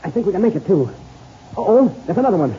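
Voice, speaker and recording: An actor playing a brave, commanding airplane pilot in an old 1930s radio serial, with clipped, urgent delivery. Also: male voice, high-pitched, sing-song, quick pace, dark tone. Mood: tense. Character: brave, commanding male airplane pilot, loyal and level-headed. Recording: dull, noisy old radio recording.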